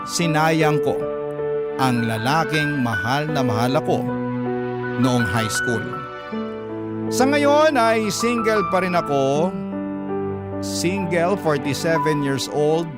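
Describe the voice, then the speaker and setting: A man's voice: on the high side, expressive, slow, long pauses. A man narrating dramatic letters as a radio host, in a sentimental, confessional manner. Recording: loud music under voice. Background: music.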